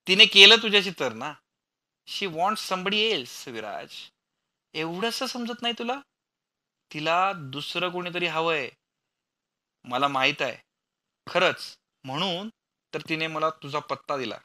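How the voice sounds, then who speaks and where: A man's voice: a little high, lively intonation, a little slow, long pauses, sharp tone. A man voicing a distraught young woman who sobs, laughs hysterically and taunts. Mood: shrieking. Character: distraught young woman, pleading then mocking.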